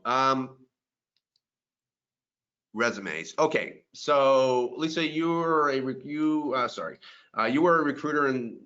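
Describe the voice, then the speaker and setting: A man's voice: medium pitch, sharp tone, lo-fi sound. A man in his fifties hosting a career webinar, upbeat and friendly as he runs polls and moderates. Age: 50s. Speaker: webinar host.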